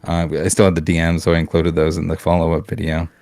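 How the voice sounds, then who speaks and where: A man's voice: sits low, completely flat delivery, fast talker, darkish tone, no echo, clean studio sound. A man from Canada on a casual podcast, ranting bluntly with swearing, restarts and fillers. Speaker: podcast co-host.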